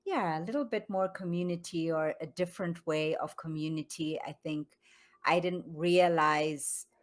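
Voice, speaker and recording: A woman from Zambia, a yoga studio founder, speaking calmly and reflectively as a guest on a video call interview. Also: low voice, normal speed. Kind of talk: online interview conversation.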